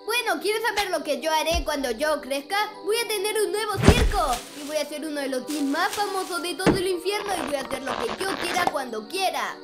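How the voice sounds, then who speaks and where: A performer with a Latin American Spanish accent voicing an excitable, boastful young imp boy in a cartoon fan dub. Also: extremely high pitch, fairly quick, hardly any pauses. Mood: excited, boastful. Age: child character. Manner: eager, exuberant. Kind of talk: animated fan-dub acting. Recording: music under the voice.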